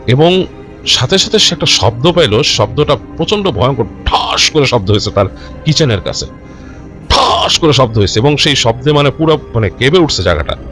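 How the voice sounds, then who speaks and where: A man telling a horror story informally and unscripted, in a halting, conversational way with repeated phrases. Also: medium pitch, big pitch swings, fairly bright tone. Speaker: storyteller of a ghost story show. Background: background music.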